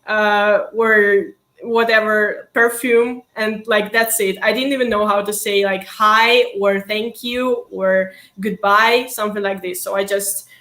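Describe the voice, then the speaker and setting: A young woman, a university student, answering interview questions in a hesitant, casual way with many pauses and easy laughter. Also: medium pitch, steady tone, fairly bright tone, reverberant. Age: young adult.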